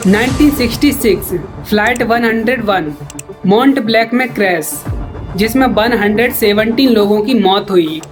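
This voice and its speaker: A woman's voice: on the high side, moderate pace. A woman delivers scripted documentary-style news narration. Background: background music.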